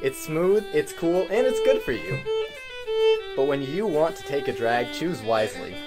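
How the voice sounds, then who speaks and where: A man's voice: a little high, big pitch swings, moderate pace, music in the background. Man playing a swooping vintage radio ad announcer. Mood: smooth, persuasive. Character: slick old-time cigarette advertisement announcer, smooth salesman.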